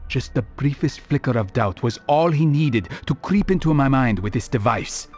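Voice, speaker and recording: A man playing a calm, resolute assassin hero in a video game, speaking in a measured, formal, serious manner. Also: medium pitch, faint music behind, natural voice. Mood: solemn.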